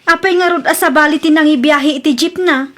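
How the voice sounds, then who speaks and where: A fretful wife acted by a woman in an Ilocano radio drama. Her female voice is high, with an Ilocano accent, and she nags and worries over her driver husband's living. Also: a little fast, a bit bright.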